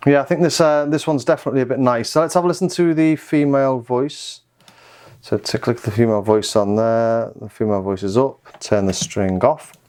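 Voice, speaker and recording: Clear-voiced man chatting through a gear demo. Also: medium pitch, fairly quick, darkish tone. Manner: hesitant, thinking aloud. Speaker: synthesizer reviewer. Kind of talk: casual gear demonstration chat.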